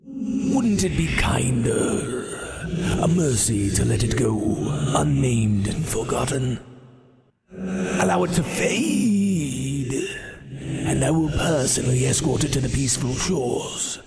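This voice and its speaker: A man playing Death as a weary, solemn king and uncle in a fantasy audio drama, with measured, creaky speech. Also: mid-range voice, expressive, over loud music. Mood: solemn, coaxing. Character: brooding kingly Death, a weary uncle offering oblivion.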